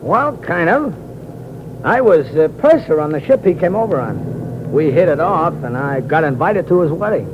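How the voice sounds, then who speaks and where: An actor as a sly, chubby blackmailing purser. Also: male voice, a little high, expressive, normal speed, natural voice. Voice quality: sly, needling delivery. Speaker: ship's purser. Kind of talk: 1950s radio drama acting. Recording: muffled old radio broadcast with loud hiss.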